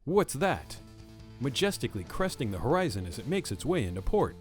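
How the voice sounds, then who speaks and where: Grandiose, lively male ad announcer. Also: mid-range voice, fairly quick, soft background music. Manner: grandiose, theatrical. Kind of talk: scripted advertising read.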